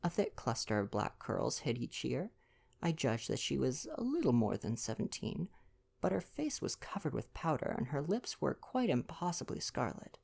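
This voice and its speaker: A young man doing an intimate ASMR boyfriend roleplay, chatting casually and reading aloud in a gentle, playful, affectionate way. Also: mid-range voice, big pitch swings, normal speed, gravelly, slightly rough. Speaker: ASMR roleplay performer and reader.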